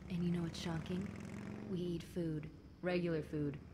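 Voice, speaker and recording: A woman's voice: on the low side, little pitch movement, moderate pace, natural voice. A woman voicing a bored, chatty demon girl in an audio roleplay, casual and teasing, talking straight to the listener. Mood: laid-back. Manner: casual, teasing, conversational with light chuckles. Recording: clear background noise.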